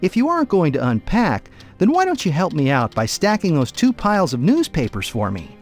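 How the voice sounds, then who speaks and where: A man's voice: on the high side, wildly swooping pitch, a little fast, soft background music. A man playing a distracted, put-upon father in a video game, mild, droll and reluctant. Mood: resigned. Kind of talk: video game cutscene voice acting with tutorial instructions.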